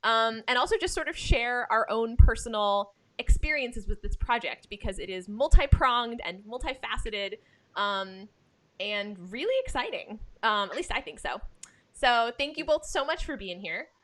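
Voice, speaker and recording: A woman's voice: a little high, expressive, moderate pace, fairly bright tone. A woman from the United States hosting an arts podcast in a casual, enthusiastic and effusive chatting style. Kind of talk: casual chat, introducing guests.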